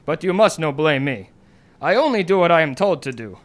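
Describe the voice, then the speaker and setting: An indignant Corsican smuggler played by a man in a radio drama. The voice is high for a man and swings widely, with a French accent and emphatic, broken English. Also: big pitch swings, fairly quick. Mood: aggrieved. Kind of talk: old-time radio drama acting.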